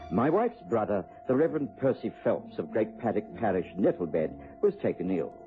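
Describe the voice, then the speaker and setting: An actor playing a blustery, genteel English doctor and loyal sidekick in an old-fashioned radio drama. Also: male voice, on the low side, very animated, normal speed, over music. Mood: measured narration. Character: genteel, easily shocked English doctor, loyal friend of a detective. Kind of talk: BBC radio drama acting. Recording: muffled tinny old radio recording.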